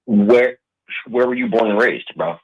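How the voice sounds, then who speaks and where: A man's voice: lowish voice, measured pace, husky, muffled recording. A man in his forties, a blunt, streetwise guest arguing in a heated political debate. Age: 40s.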